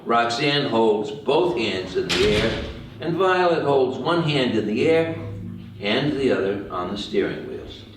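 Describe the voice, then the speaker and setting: A man's voice: medium pitch, sing-song, a little slow, noisy background. A man reading stage directions as the narrator of a screenplay table read. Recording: very echoey room, distant mic.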